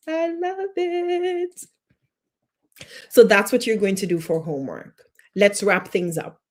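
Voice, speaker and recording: A woman leads a live-streamed lesson. She speaks in an encouraging, repetitive way, asking the viewers questions and checking in with them. Her voice sits at a middle pitch. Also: expressive, moderate pace. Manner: encouraging, repeats short questions, reads out viewer comments. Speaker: life coach teaching a live online class. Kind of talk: live-streamed class, talking to viewers in chat.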